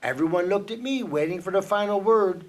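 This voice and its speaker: A man reading a children's picture book aloud for a reading program, telling the story with dramatic pauses. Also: sits high, poor audio.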